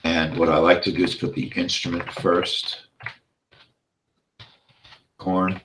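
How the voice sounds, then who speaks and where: A man teaching a live trading session, explaining the setup step by step and checking in with "okay?", his voice going hoarse as he clears his throat. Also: deep voice, level tone, slow pace, a bit bright, reverberant, slight background noise, rough recording. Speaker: trading instructor.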